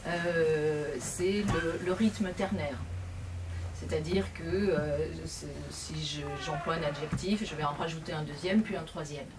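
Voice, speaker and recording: A woman author answers a question in a lively, self-mocking way. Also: low-pitched, unhurried, crowd noise. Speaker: author at a book event. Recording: distant mic with loud noise.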